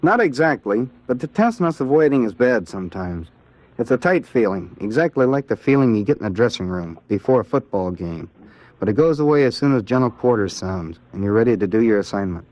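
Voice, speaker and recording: A man with an American accent answering in a scripted 1940s radio interview as a Navy seaman, in a plain, earnest, measured way. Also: mid-range voice, animated, a little mellow, no echo, close to an everyday voice. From Ohio, United States. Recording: dull vintage 1940s radio recording.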